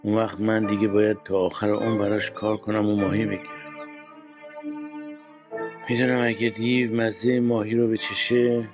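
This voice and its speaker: A man's voice: fairly low pitch, flat delivery, pauses often, music in the background, lightly acted. An actor playing a kind, worried fisherman father in a children's radio play. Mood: anxious brooding.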